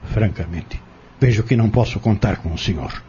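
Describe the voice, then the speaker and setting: A man's voice: fairly low pitch, normal speed, warm tone, lo-fi sound, a little hiss or hum, natural voice. An actor in an audio drama playing an insistent, scheming man who goads and persuades in formal wording, bent on exposing a corrupt family. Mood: indignant.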